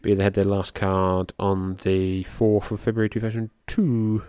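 A middle-aged man with a slightly low voice calls a wrestling match in loose, rambling podcast commentary. He talks casually and swears here and there. He rises in pitch and volume when the big moves land. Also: moderate pace, smooth, no echo, clean studio sound. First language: English. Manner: loose, rambling, swears casually, gets excited at high spots.

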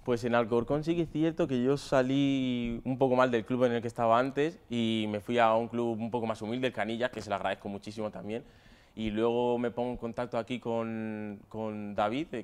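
Young male footballer, twenty, Madrid accent, smooth voice with fairly flat intonation, chatting in an interview. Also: medium pitch, brisk. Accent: Madrid Spanish. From Spain, Madrid area. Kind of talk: casual interview answers. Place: stadium dugout with plastic seats.

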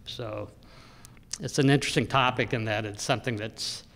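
A man in his sixties giving an informal, rambling talk at a podium microphone, often pausing and hesitating as he goes. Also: mid-range voice, fairly even intonation, fairly bright tone. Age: 60s. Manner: halting, rambling delivery with frequent hesitations. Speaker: local history journal editor speaking at an event. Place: indoor public room, speaking at a podium. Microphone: gooseneck podium microphone. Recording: echoey hall with long reverb.

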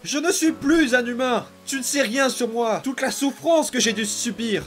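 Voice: mid-range voice, moderate pace, natural voice. Unhinged demon boy played by an actor, comic dub: domineering, taunting, theatrical, music underneath. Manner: menacing, taunting, theatrical outbursts and mutters. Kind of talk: dramatic comic fan-dub acting.

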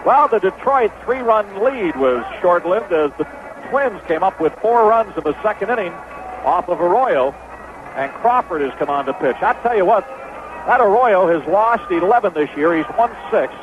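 Male radio baseball play-by-play announcer, slightly high pitch, thin vintage AM sound. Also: animated, moderate pace, phone-quality sound, music in the background. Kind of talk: radio sports commentary. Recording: old AM radio broadcast, thin, noisy.

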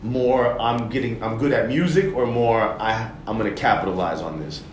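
A man's voice: mid-range voice, moderate pace, reverberant, a little hiss or hum. A man hosting a casual interview, joking and blunt in his questions. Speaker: interview host.

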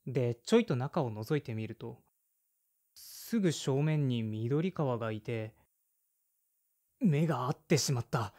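A man's voice: mid-range voice, animated, a little slow, long pauses, warm tone, natural voice. A man playing a blunt, awkward man of thirty-five in an audio drama, in casual dialogue and brooding narration. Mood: reflective. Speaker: lead character and narrator.

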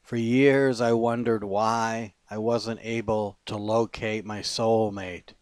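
A man reading poetry as a poet, in measured, deliberate phrases with pauses. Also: on the low side, flat delivery, no echo, studio quality.

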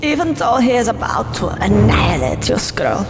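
An actor playing a scheming, eccentric evil professor in a video game, fawning and pompous with theatrical, drawn-out muttering. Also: mid-range voice, big pitch swings, over loud music. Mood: admiring, menacing. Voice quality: theatrical, swooping voice. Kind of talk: video game cutscene acting.

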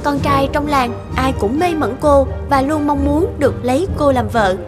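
A woman narrating a cartoon folk tale in a gentle, scripted storytelling manner. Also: high-pitched, moderate pace. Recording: music bed behind the voice.

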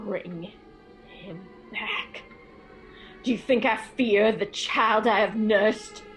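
A woman's voice: mid-range voice, takes it slowly, faint music behind, natural voice. A woman playing an obsessive, grieving elderly mother in a radio horror drama, imploring and intense. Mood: grim, commanding.

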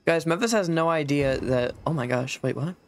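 A young adult man hosting a gaming video with casual, playful and excitable banter. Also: fairly high pitch, normal speed. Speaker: gaming vlog host.

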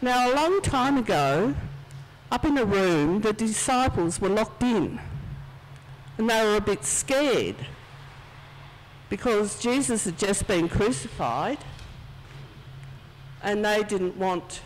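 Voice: medium pitch, animated, takes it slowly, pauses often, slight background noise. A speaker giving a children's talk in church, chatty and conversational as they tell a story. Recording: distant, reverberant church hall sound.

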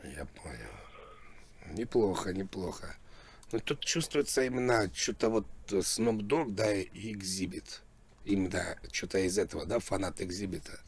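A man's voice: low-pitched, normal speed, a little hiss or hum. A man making a prank call as a music producer, chatting casually in repetitive patter. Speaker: prank caller posing as a rap label producer. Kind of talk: casual phone talk.

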